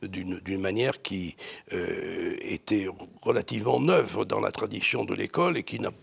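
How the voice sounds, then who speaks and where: A man in his seventies from Marseille with a slight Marseille accent, a priest and scholar answering interview questions in a measured, hesitant way with many fillers and dry wit. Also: on the low side, vocal fry, breathy edge. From Marseille, France.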